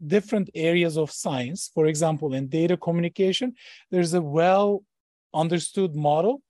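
A man's voice: fairly high pitch, normal speed, dark tone, dry recording, clean studio sound. Hesitant man from Turkey, light voice. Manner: hesitant, many fillers and restarts. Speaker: startup founder and professor. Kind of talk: interview guest.

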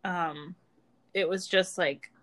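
A woman's voice: on the low side, steady tone, slow, a bit bright. A woman co-hosting a podcast who chimes in with short, supportive remarks. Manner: supportive, brief agreeing interjections. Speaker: podcast co-host. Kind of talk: casual podcast conversation.

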